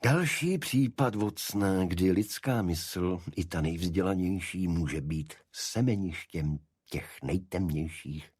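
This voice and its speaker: A man reading a detective story as an audiobook narrator, in a scripted literary style with a husky voice. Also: deep voice, a little mellow, no echo.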